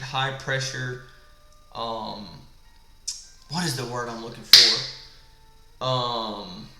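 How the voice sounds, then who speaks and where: A man in an echoey room with a gravelly, smoker-like voice. He sounds tired late at night and walks through his trading setup, asking and answering his own questions. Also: mid-range voice, bright, forceful tone. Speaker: trading instructor. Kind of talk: live screen-share trading walkthrough. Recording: echoey room with faint background music.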